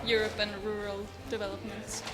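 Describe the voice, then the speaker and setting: A woman's voice: mid-range voice, monotone, moderate pace. A woman from Sweden introducing herself in a friendly, modest way. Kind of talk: short self-introduction. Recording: echoey room.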